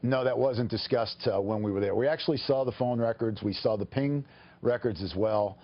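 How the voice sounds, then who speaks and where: A man speaking as an attorney in a live TV news interview, explaining formally and haltingly. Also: mid-range voice, highs cut off.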